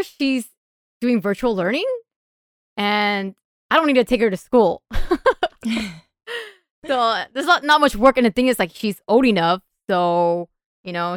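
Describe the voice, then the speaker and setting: A woman's voice: medium pitch, clear, resonant tone, dry recording, studio quality. A woman talking casually as a podcast guest, informal, giggly and a little self-deprecating. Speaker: podcast guest and group member. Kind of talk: casual chat.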